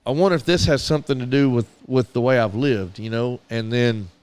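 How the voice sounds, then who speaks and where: A man's voice: medium pitch, brisk, darkish tone, smooth. A middle-aged man with a Southern American accent, co-hosting a casual radio podcast and telling stories earnestly. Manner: earnest, storytelling, with repeated restarts. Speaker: podcast co-host.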